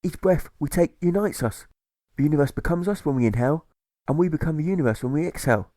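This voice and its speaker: A man's voice: fairly high pitch, expressive, quick pace, warm tone, dry recording, studio quality, pitched above the performer's own voice, lightly acted. A man voicing an earnest female dog who searches for peace in a storybook fable, declaiming her lines. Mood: elated revelation.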